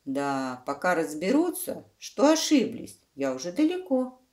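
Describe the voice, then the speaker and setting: A woman voicing a crafty female fox in a children's fairy tale, proud and animated as she explains herself. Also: fairly low pitch, a little slow. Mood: sly, boastful.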